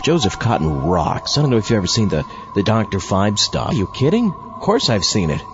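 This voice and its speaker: A man's voice: on the low side, very animated, brisk, dull audio, noisy background. A man hosting a podcast in a playful, theatrical, mock-pompous way, delivering comic introductions to horror stories. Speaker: podcast host. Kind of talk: scripted comic horror-anthology introduction, talking with himself as a double. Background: prominent music.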